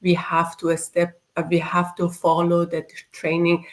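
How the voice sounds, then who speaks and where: Woman host, lowish voice, halting casual chat. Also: monotone. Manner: hesitant, halting with fillers.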